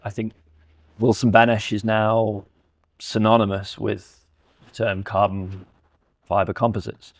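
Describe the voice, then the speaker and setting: A man's voice: lowish voice, completely flat delivery, unhurried, pauses often. A man giving a step-by-step technical explanation as a company representative presenting audio products.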